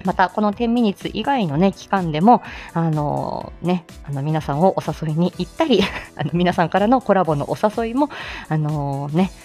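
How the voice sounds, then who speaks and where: A woman's voice: lowish voice, animated, normal speed, warm tone, soft background music. A woman hosting a live audio show in a casual, chatty way, candid and self-deprecating, often breaking into laughter as she talks. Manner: laughs often while talking. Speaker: host of a live audio show, speech therapist. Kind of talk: casual chatty solo livestream talk.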